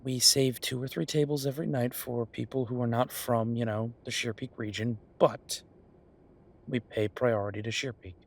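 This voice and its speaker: A man playing an easygoing chef in an audio roleplay, talking in a casual, musing, explanatory way to his partner, with a slightly husky voice. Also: mid-range voice, steady tone, moderate pace. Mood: explaining, persuasive. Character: easygoing male chef planning restaurant business with his partner. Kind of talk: one-sided roleplay acting, casual chat.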